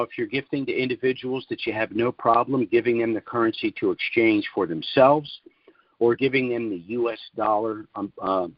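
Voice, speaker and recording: A man giving a sales presentation on a conference call, speaking in deliberate, measured phrases with emphatic pauses. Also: mid-range voice, no echo. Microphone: conference call line. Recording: dull, lo-fi conference call audio.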